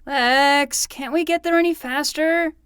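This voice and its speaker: A woman's voice: high voice, steady tone, unhurried, a bit bright, no echo, studio quality. A woman voicing an earnest, melodramatic twelve-year-old boy who plays detective in a scripted fiction podcast. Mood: urgent, worried.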